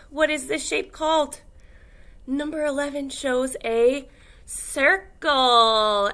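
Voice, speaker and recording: A young woman speaking as a kindergarten teacher, animated and encouraging, giving a lesson to small children. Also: high-pitched. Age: young adult. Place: indoor classroom.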